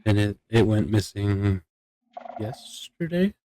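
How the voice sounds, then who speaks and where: A man's voice: on the low side, animated, slow pace, mellow, a little hiss or hum. A man playing a plain-spoken court member in an improvised Minecraft roleplay, talking casually. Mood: matter-of-fact.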